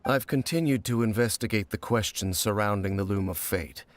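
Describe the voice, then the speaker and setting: An actor playing a grave, mysterious male wanderer in a video game, speaking in a solemn, measured, formal way. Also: fairly low pitch, slight background noise, close to an everyday voice.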